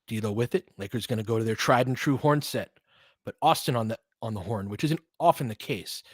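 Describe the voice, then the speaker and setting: A man's voice: medium pitch, fairly quick, no echo. A man giving informal, partisan live basketball commentary as a host, reacting openly to the plays like a fan.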